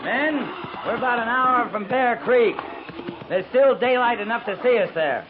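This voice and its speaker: Firm cavalry captain, noisy radio western. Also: male voice, extremely high pitch, expressive, normal speed. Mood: businesslike. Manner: clipped, authoritative. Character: firm, honourable cavalry captain of the Old West. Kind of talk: 1950s radio western acting. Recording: muffled noisy 1950s radio recording.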